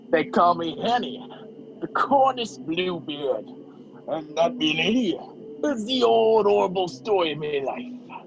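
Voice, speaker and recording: Music plays clearly behind a slightly dull video-call recording. A sardonic fugitive man, acted by a young man, speaks in a fairly high voice, full of dry sarcasm, in amateur radio-play style. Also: animated, slow, long pauses, exaggerated voice. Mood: mock confessional. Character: wisecracking fugitive man, sardonic, handcuffed to a woman.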